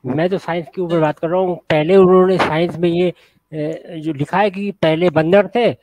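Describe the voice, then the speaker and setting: A man with a sore throat arguing on a video call, halting and restarting his sentences. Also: fairly high pitch, fairly even intonation, darkish tone. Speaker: caller in group debate. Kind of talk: casual group video call debate.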